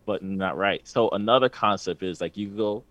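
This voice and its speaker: A man with a very deep voice trades casual jokes as a podcast co-host. He talks in a relaxed, meandering way, often stopping on "like". The sound is dry and close, with no room around it. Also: moderate pace, dry recording. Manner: laid-back, joking, trails off with "like". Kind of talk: casual banter.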